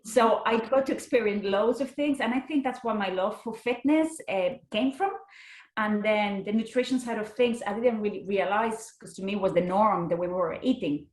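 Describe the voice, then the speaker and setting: A woman's voice: mid-range voice, moderate pace, a little mellow, roomy sound. A young adult woman from Spain with a Spanish accent, a podcast guest chatting casually with frequent restarts. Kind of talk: casual interview chat.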